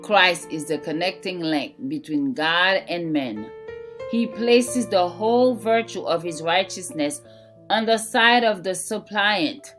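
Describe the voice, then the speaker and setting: A woman reading a scripted devotional in a measured, deliberate way. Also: fairly low pitch, lively intonation. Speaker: devotional reader. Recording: soft guitar music behind voice. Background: gentle plucked guitar music.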